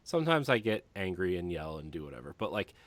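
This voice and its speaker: A man co-hosting a podcast in casual chat, talking in a rambling, halting, self-deprecating way. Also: deep voice, big pitch swings, a bit bright, no echo. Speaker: podcast co-host.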